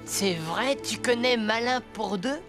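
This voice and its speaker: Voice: mid-range voice, slow, music in the background, close to an everyday voice. A performer voicing a small, trusting, grateful young coyote in a French cartoon. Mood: surprised, shy.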